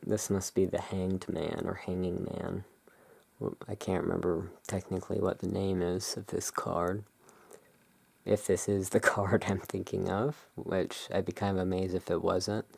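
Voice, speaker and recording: A person doing a relaxing gothic ASMR roleplay, reading tarot cards aloud and commenting on them in a hushed, unhurried way. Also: sits low, gravelly, husky. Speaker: ASMR creator, tarot deck reviewer.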